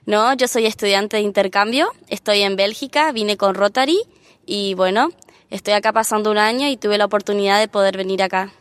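A teenage girl from Argentina speaks in a smooth, clear voice with an Argentine accent. She chats casually, with a few hesitant restarts, and the sound is dry and close. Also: mid-range voice, brisk, a bit bright, dry recording. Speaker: exchange student. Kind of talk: casual chat.

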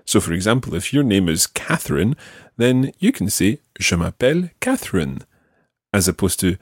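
Patient man teaching, lively voice. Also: low voice, normal speed, no room sound, studio quality. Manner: patient, clear explaining. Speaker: language teacher and podcast host. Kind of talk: podcast language lesson.

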